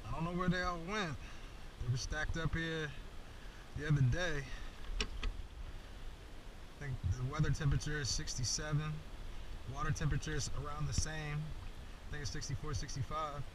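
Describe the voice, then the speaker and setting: A man's voice: on the high side, fairly even intonation, moderate pace, long pauses. Man in noisy, distant outdoor kayak recording. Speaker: fishing vlogger. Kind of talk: casual running commentary while fishing. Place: outdoors on a kayak on open water. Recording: noisy outdoor water sound, distant mic.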